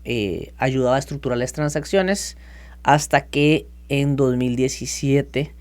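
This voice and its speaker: A man in his thirties from Costa Rica, telling his story as a podcast guest in a lively, chatty way. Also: mid-range voice, measured pace. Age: 30s. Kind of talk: casual interview talk.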